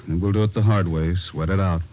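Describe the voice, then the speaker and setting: Police captain male actor: very low, gruff, commanding; vintage radio, muffled. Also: steady tone, a little fast, faint music behind, close to an everyday voice. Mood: stern. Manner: curt, authoritative. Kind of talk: 1950s radio drama acting. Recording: dull vintage radio recording.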